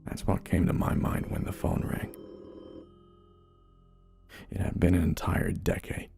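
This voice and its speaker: A man's voice: sits low, level tone, vocal fry. A man narrating a first-person horror story in a somber, dramatic manner. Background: slow dark music.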